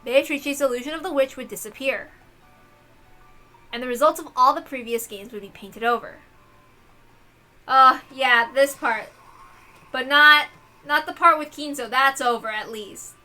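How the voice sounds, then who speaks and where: A woman hosting an expressive read-aloud of a visual novel, with casual asides of her own. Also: high voice, a little slow, long pauses, soft background music. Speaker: reader and host.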